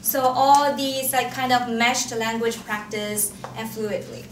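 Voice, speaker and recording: Young woman student giving a talk, highish voice, keeps restarting, mic is far away. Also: steady tone, takes it slowly. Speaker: student presenter. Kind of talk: informal academic presentation. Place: indoor room at an academic forum. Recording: echoey, noisy room, distant mic.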